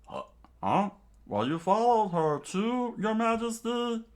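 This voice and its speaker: A man voicing a flustered, loyal royal guard with loud, indignant exclamations, reading an adventure comic aloud. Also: fairly low pitch, big pitch swings, slow, gravelly, breathy edge, full character voice. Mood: baffled. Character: flustered, loyal male royal guard, exasperated with his king.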